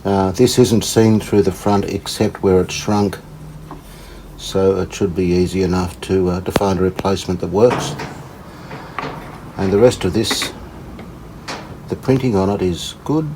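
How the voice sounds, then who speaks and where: A man giving casual hands-on repair commentary, unhurried and tentative, as if thinking aloud. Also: deep voice, background noise. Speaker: host of a radio repair channel.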